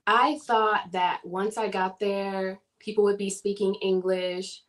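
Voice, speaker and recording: A woman from the southern United States, a guest in a casual interview, telling her story in an animated way and laughing often. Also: mid-range voice, takes it slowly.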